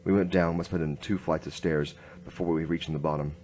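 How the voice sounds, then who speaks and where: A man's voice: rumbling low, fairly even intonation, brisk, a little mellow. A man playing a grim hard-boiled inspector, narrating tersely in a noir radio drama. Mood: grim narration.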